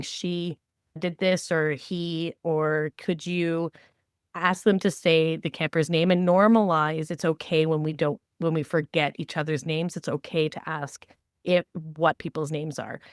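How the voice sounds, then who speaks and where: A woman's voice: low-pitched, flat delivery, unhurried, smooth, dry recording, clean studio sound. A woman co-hosting a podcast, giving conversational advice with emphatic, repeated phrasing. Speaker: podcast co-host. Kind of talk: conversational advice on camp staff training.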